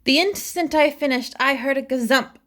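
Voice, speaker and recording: A woman reading a rhyming children's story aloud with expressive, dramatic phrasing. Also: sits high, normal speed. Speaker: storybook reader.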